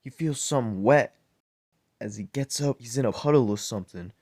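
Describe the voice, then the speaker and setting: A man improvising a crude bedtime story in a deadpan, rambling way, with lots of swearing and repeated words. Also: mid-range voice, brisk, slightly rough, no room sound, studio quality.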